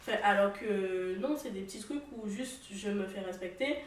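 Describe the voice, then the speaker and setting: A young woman from the French Antilles talking casually, relaxed and hesitant, with phrases that trail off. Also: mid-range voice, normal speed. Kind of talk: casual conversation. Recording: echoey room, distant mic, faint noise.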